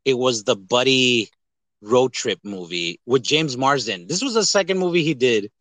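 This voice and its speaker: Guy on a movie podcast, bright punchy voice, bouncy delivery, rambles and jokes around, really dry sound. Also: mid-range voice, normal speed, no room sound. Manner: animated, rambling, jokey, uses profanity. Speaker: podcast host. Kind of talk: casual movie review chat.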